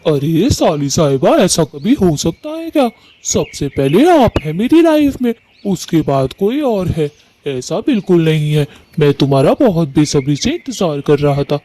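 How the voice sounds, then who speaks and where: A man's voice: fairly high pitch, big pitch swings, measured pace, warm tone. A man voicing an earnest, affectionate male bird who talks in a coaxing way in a cartoon story. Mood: fond, coaxing. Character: earnest, affectionate young male bird suitor and brother-in-law. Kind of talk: animated story dialogue.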